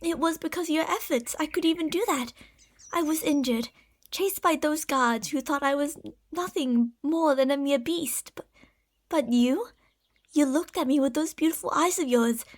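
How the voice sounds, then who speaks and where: Female performer as obsessive serpent woman for ASMR roleplay; high voice, soft formal delivery, soothing with a deceitful, possessive edge. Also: moderate pace, lightly acted. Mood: tender, comforting. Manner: soft, formal, soothing speech with breathy whispers.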